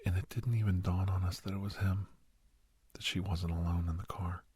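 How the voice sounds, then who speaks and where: A man playing a grave doctor in an ASMR roleplay, telling a haunting memory in a hushed, halting, breathy voice that often drops to a whisper. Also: low-pitched, little pitch movement, no room sound. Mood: grave, intent. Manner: halting, hushed, dramatic delivery. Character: grave male doctor recounting a frightening memory to youngster.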